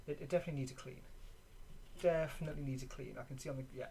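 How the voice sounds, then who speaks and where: A gaming video creator chatting casually and off the cuff in a halting way while showing items. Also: mid-range voice, fairly even intonation, warm tone. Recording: clearly noisy background.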